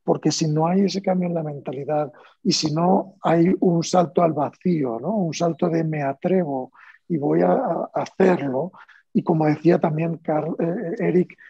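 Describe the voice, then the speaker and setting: A man's voice: a little high, measured pace, gravelly, mellow, slightly rough, no echo, muffled recording. A man from Spain with a Spanish accent, speaking as a panelist in a panel discussion in a conversational way, with fillers and restarts.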